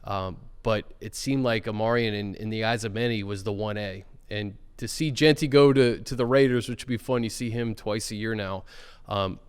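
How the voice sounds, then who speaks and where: Casting: male sports show host, smooth voice, relaxed chat, room with a long tail. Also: on the low side, normal speed, big-room sound.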